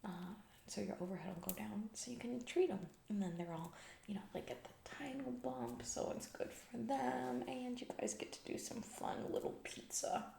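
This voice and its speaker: A woman playing a callous, self-absorbed, distracted female CEO in a soft-spoken ASMR comedy roleplay, breathy, rambling and trailing off. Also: mid-range voice, lively intonation, normal speed, roomy sound, a little hiss or hum. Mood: breezy, callous. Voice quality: breathy soft voice with heavy vocal fry. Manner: rambling, trailing off, vague filler-heavy corporate talk. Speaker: ASMR performer.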